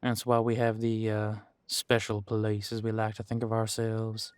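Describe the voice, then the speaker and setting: A man's voice: fairly low pitch, completely flat delivery, normal speed, darkish tone, smooth, no room sound, natural voice. A man playing a friendly, long-serving supernatural squad cop in an audio roleplay, chatting in an easygoing, folksy, slightly hesitant way. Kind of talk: conversational audio roleplay to an unseen partner.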